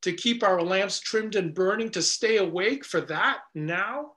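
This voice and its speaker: A middle-aged man, a pastor, preaching an earnest, measured sermon indoors before a stone wall. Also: sits high, bright, forceful tone.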